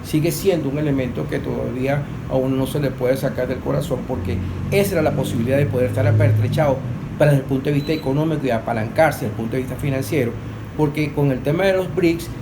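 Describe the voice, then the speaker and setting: A man's voice: mid-range voice, quick pace. A man giving unscripted political and economic analysis as a panelist, often circling back to rephrase as he searches for terms. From Venezuela. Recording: clearly noisy recording.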